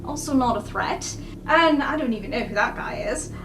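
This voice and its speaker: A woman playing a tabletop role-playing game, improvising narration and dialogue in halting, thoughtful phrases. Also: fairly high pitch, lively intonation. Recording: roomy, distant mic under prominent music.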